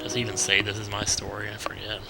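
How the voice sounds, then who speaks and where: A man's voice: lowish voice, completely flat delivery, fast, gravelly, rough recording. A young American man giving casual, rambling let's play commentary with wry asides. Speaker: gaming video host. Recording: game music behind the voice. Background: video game music.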